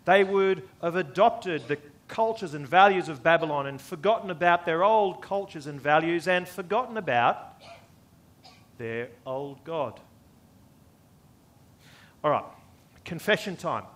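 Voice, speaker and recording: A man's voice: a little high, expressive, unhurried, pauses often, a bit bright. A man with an Australian accent preaching a sermon, posing questions to his listeners and repeating phrases for emphasis. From born in the US, lived most of his life in Australia. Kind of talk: expository sermon to a congregation.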